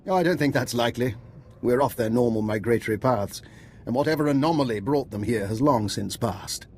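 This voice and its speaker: An actor playing an unflappable, chatty doctor working as a spy in a video game, precise and breezy. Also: male voice, medium pitch, brisk, darkish tone, slight background noise, close to an everyday voice. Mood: breezy, unconcerned. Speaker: intelligence scientist.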